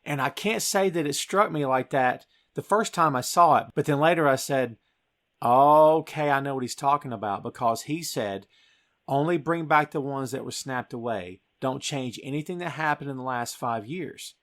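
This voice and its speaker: A man's voice: mid-range voice, moderate pace, fairly bright tone. A middle-aged American man hosting a podcast, talking about film in a casual, emphatic, conversational way. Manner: emphatic, conversational, addresses listener as "dude". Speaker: podcast host.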